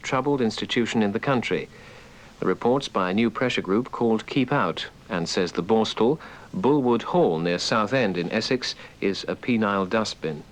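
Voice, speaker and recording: A man reading radio news in a measured way. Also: lowish voice. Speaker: newsreader.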